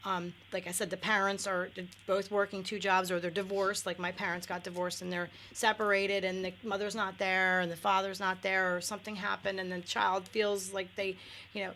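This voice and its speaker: A woman's voice: medium pitch, sharp tone, slight background noise, low-quality recording. A middle-aged woman, a guest on a radio talk show, talking candidly with lots of fillers and restarts. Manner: candid, many fillers and self-corrections. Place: radio studio.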